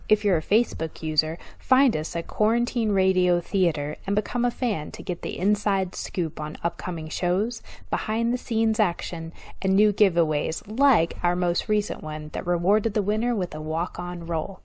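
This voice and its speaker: A woman's voice: lowish voice, normal speed, hardly any pauses, darkish tone, no echo. A woman reading a scripted introduction as the host of a radio drama show. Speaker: host and director. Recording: music under the voice.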